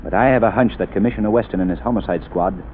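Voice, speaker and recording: A man playing a calm, wealthy amateur sleuth in a 1930s radio drama, measured and commanding. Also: fairly low pitch, natural voice. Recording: tinny old radio broadcast with faint hiss.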